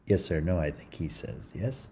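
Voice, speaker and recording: A man's voice: deep voice, animated, normal speed, dark tone, slightly rough. A man reading a story aloud to children in a theatrical, playful style, with chatty asides. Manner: theatrical, playful, interjecting comments to young listeners.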